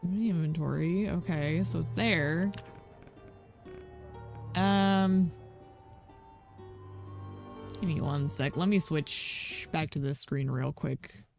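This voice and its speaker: Croaky woman streamer thinking aloud. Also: deep voice, slow, long pauses, a little mellow, soft background music. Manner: thinking aloud, many ums, chatty asides to herself. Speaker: game streamer. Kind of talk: casual gameplay commentary.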